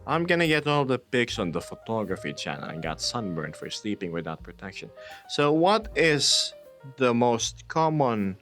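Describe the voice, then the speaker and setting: A man's voice: mid-range voice, very animated, moderate pace, fairly bright tone, faint music behind. A man from the Philippines hosting a casual livestream, chatting playfully with viewers and laughing often.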